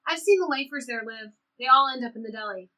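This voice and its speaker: A woman's voice: on the high side, fast, pushed and bright. A woman playing a frustrated, sarcastic seventeen-year-old girl in an audio play, speaking emphatically and conversationally. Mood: exasperated. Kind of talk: audio play reading, conversational teen dialogue.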